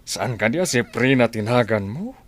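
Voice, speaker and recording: A man playing a genial, deliberate office boss in a comedy radio drama, drawling with hums and pauses. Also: mid-range voice, lively intonation, no echo, close to an everyday voice.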